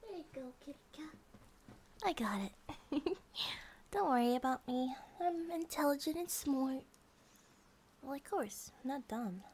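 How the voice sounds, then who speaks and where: A woman's voice: high voice, lively intonation, measured pace, long pauses, darkish tone, a little hiss or hum. A woman voicing an affectionate, childlike and possessive grizzly bear monster-girl wife in an intimate ASMR roleplay, in a cutesy, rambling manner that sometimes drops toward a whisper. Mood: delighted, cutesy.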